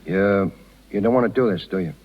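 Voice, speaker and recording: An actor playing a loyal, wounded circus man with folksy, halting, ungrammatical speech in a 1930s radio drama. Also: low-pitched. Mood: gentle, resigned. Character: plain-spoken circus man, loyal, wounded, protective of Polly. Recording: muffled 1930s radio broadcast with long reverb.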